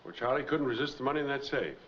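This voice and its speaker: Man playing a fast-talking, earnest noir hero. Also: mid-range voice, expressive, fairly bright tone, lightly acted. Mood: relieved, curious. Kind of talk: 1940s crime film acting. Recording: dull, noisy 1940s film soundtrack.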